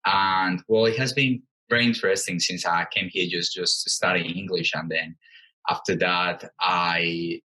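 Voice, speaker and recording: Deep-voiced Colombian-born man. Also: fairly even intonation, a little slow, pushed and bright, low-quality recording. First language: Spanish. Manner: thoughtful, hesitant with many fillers and restarts. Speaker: guest, company co-founder. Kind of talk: interview answers.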